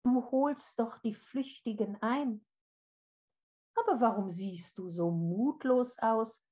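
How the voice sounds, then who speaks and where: A woman playing a small, light-hearted old woman in a fairy tale, fearless and kindly, with a cheerful, gently encouraging manner. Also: on the high side, slow. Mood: kind, reassuring.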